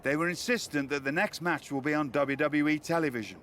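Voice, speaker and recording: Man acting a theatrical, higher-pitched wrestling promoter. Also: a little fast, a bit bright, slight background noise, lightly acted. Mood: formal, showman-like. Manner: articulate, theatrical, dry wit. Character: dapper, theatrical male wrestling promoter and go-between. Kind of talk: video game cutscene dialogue.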